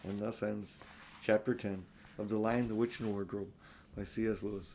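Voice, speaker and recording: An older man narrating a children's audiobook expressively, with unhurried, deliberate phrasing. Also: fairly low pitch, level tone, dark tone. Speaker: audiobook reader.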